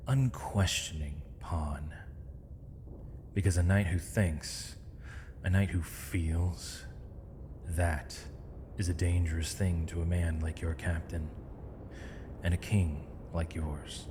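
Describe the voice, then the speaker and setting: An actor playing a proud, weary captive mage in an ASMR roleplay, with eloquent, theatrical and measured formal diction. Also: male voice, deep voice, dark tone. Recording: reverberant hall, faint noise, dull lo-fi sound.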